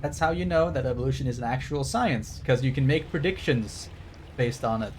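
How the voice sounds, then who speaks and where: A man's voice: mid-range voice, a little fast, a little mellow, a little hiss or hum. A man streaming a game with casual, rambling commentary in an irreverent, joking and sweary manner.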